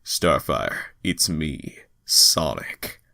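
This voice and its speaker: A man voicing an easygoing, confident young cartoon hedgehog hero in a casual, deliberate manner. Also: a bass voice, big pitch swings, vocal fry, sharp tone, no room sound, heavily acted. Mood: gentle concern.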